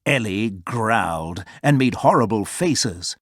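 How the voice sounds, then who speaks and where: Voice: mid-range voice, expressive, takes it slowly, heavy background noise. A storyteller reading a children's story aloud as scripted narration.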